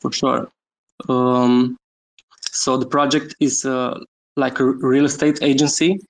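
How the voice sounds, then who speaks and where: Halting, flat-voiced man from Romania. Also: medium pitch, vocal fry, rough recording. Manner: hesitant, many fillers, laughs often. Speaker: guest NFT creator. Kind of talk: casual chat on a Twitter space.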